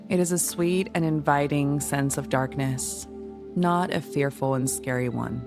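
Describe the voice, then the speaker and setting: Slow, low-voiced woman guiding meditation. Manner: soothing, gentle, unhurried instruction. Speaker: guided meditation guide. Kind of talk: guided meditation narration. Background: music.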